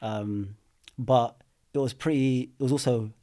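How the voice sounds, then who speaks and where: A man in his thirties talks as a podcast guest. He is relaxed and conversational, leaning on fillers and restarts as he tells his story. His pitch is ordinary for a man. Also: a little slow. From London. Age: 30s. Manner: relaxed storytelling, many fillers like "you know". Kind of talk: casual interview chat. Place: studio.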